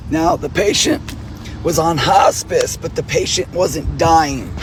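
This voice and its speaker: A hoarse male nurse, losing his voice, giving an angry, profane video appeal and clearing his throat often. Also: on the high side, expressive, slow pace, slight background noise. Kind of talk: direct-to-camera video appeal.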